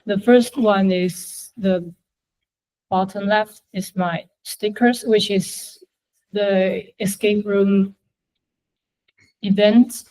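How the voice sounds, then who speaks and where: A young woman whose first language is Chinese, presenting her portfolio to a class in a halting way with many fillers. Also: medium pitch, warm tone, rough recording. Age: young adult.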